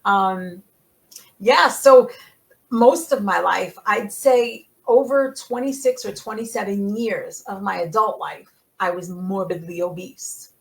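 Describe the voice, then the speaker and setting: Middle-aged woman, Italian dialect as a first language, animated and emphatic guest, mid-pitched voice. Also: slow, vocal fry, poor audio. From United States. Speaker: podcast guest. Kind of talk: casual conversation.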